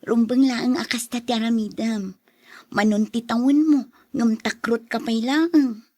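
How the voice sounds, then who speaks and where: A woman's voice: fairly high pitch, steady tone, unhurried, dark tone, no room sound. A woman playing a frank, concerned older sister in a radio drama, speaking in an advising way.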